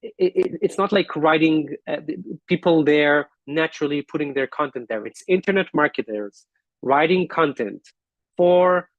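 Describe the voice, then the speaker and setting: A man hosting an online business forum, talking casually and haltingly while he walks through his shared screen on a video call. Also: on the high side, dry recording, dull audio. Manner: halting, thinking aloud with fillers and restarts.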